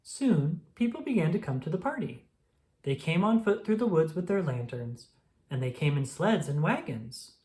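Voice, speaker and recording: Storybook narrator, slow gentle delivery, mid-range voice, read-aloud for children. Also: mid-range voice, sing-song. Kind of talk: reading a children's picture book aloud.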